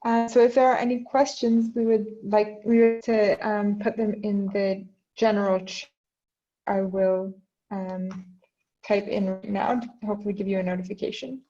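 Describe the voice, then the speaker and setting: A woman's voice: medium pitch, little pitch movement, moderate pace, mellow. A woman chairing a conference panel online, polite and a bit hesitant as she moderates questions. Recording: muffled online call audio.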